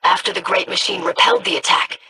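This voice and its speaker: Game role: woman playing a bright-voiced young alien researcher, measured and precise. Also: lightly acted. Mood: thoughtful. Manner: measured, studious. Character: young alien scholar, formal and curious.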